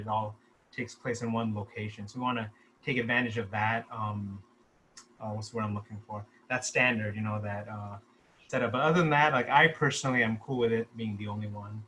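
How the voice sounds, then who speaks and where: A man's voice: mid-range voice, pushed and bright, a little hiss or hum, low-quality recording. A man talking casually in a group discussion as a filmmaker, explaining his own work. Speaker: filmmaker discussing his short script at a table read.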